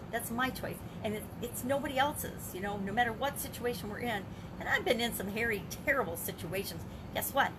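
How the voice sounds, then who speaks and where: A woman's voice: fairly high pitch, background noise. An older woman and business coach talking through her day in a casual video journal, reflective, asking herself rhetorical questions and restarting her sentences.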